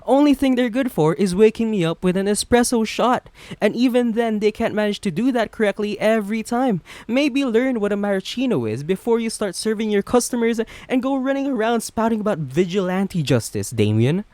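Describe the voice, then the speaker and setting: A man's voice: sits high, animated, a little fast, a little mellow, no echo. A man playing a smug, flirty businessman supervillain, chatty and sardonic, in a romantic roleplay audio drama. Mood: exasperated ranting. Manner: chatty, sardonic, self-assured.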